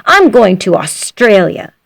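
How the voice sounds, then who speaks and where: Woman voicing a whiny, animated, grumpy little boy. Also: mid-range voice, measured pace, vocal fry, no echo, full character voice. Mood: defiant. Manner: sulky, emphatic complaining. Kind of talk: children's storybook character reading.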